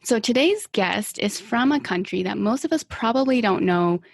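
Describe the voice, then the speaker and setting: Gravelly-voiced woman hosting a podcast. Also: a little high, animated, moderate pace, no room sound, clean studio sound. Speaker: podcast host, immigration attorney. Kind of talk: podcast interview.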